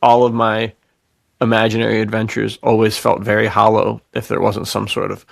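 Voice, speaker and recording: Casual man with creaky, dry-recorded voice. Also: on the low side, completely flat delivery, normal speed, dry recording. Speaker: podcast co-host. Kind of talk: casual podcast chat.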